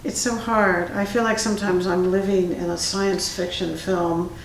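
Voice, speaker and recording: A woman's voice: on the low side, steady tone, normal speed, creaky. A woman hosting an interview, agreeing with her guest and laughing often. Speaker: podcast host. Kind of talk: casual interview chat. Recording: echoey room from far off, faint noise.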